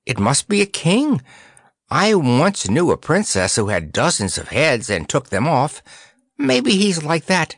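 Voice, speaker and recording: A man's voice: on the high side, huge pitch swings, a little slow, breathy edge, no echo, clean studio sound, pitched above the performer's own voice, heavily acted. A man voicing a spirited, earnest young girl in a storybook. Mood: nervous wonder.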